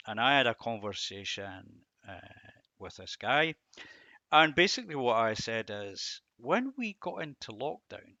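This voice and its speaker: Slow-talking, bright-voiced man giving a devotional. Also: mid-range voice, sing-song, no echo, muffled recording. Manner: reflective, rhetorical questions with emphatic repetition. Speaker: Bible teacher and host. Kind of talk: devotional talk reflecting on scripture verses.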